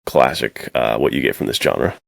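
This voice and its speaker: A man's voice: rumbling low, moderate pace, gravelly, a bit bright, no echo, clean studio sound. A man co-hosting a podcast, casually discussing stories with emphatic, slangy enthusiasm. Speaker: podcast co-host. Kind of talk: casual film and book discussion.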